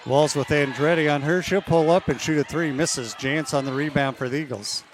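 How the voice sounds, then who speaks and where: A man calling a women's college basketball game play-by-play, losing his voice and clearing his throat now and then. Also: a little high, slight background noise. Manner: steady, measured calls that rise on big plays. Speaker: play-by-play announcer.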